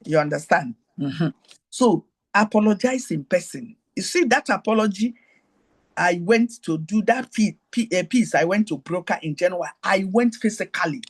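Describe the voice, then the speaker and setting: Nigerian woman hosting an online call, kinda husky, wanders into her own stories and repeats the main point over n over. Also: animated, normal speed. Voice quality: high-pitched. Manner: digressive, repeats key phrases, talks straight to listeners. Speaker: host. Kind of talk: teaching talk mixed with personal stories.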